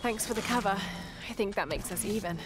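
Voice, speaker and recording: A woman playing a determined young female adventurer in a dramatic video game, breathy and earnest. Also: medium pitch, brisk, noisy background, lightly acted. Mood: wry, tense. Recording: loud music behind game dialogue.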